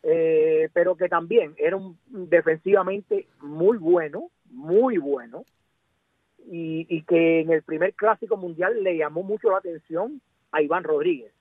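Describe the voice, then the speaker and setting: A man's voice: a little high, a little slow, no echo. A man with a Cuban accent discussing sports casually as a guest commentator, emphatic and repeating words, over a narrow radio or phone-like connection. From Cuba.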